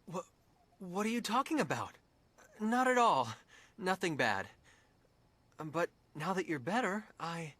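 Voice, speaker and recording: An actor playing an earnest, modest young adventurer in a fantasy game, hesitant and self-effacing. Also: male voice, high-pitched, very animated, unhurried, sharp tone, dry recording, full character voice. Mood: concerned. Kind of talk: anime-style fantasy game dialogue. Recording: clear game ambience noise behind.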